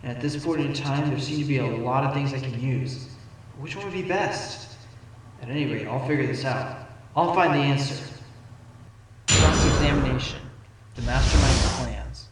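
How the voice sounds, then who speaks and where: A man's voice: medium pitch, normal speed, echoey room, background noise, lightly acted. A man playing a determined, sly fox defense attorney in a fan audio drama, speaking emphatically and theatrically. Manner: theatrical, emphatic, bursts into objections.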